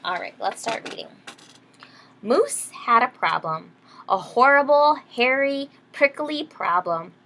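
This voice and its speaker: A woman's voice: on the high side, expressive, takes it slowly, fairly bright tone, slight background noise. A woman reading a picture book aloud to young children, explaining things simply and checking in with questions. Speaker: children's storybook reader, teacher. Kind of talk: read-aloud storytime with explanations.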